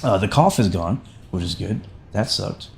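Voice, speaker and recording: A man with a slightly raspy voice, not fully recovered from sickness, hosting a casual flight sim livestream in a chummy, slangy way and thinking aloud. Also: lowish voice, lively intonation, normal speed, a little mellow. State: getting over a sickness, voice not fully back. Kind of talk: casual stream commentary.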